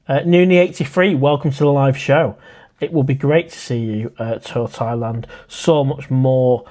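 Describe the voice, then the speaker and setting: A man's voice: mid-range voice, fairly even intonation, normal speed, mellow. A man, hosting a casual live stream and chatting in a friendly way as he reads out viewer comments. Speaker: livestream host.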